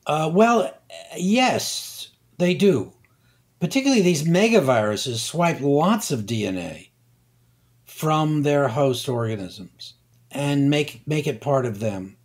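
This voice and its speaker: An older man, a scientist guest, explaining slowly and deliberately with many hesitations. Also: fairly high pitch, animated. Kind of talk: conversational science interview.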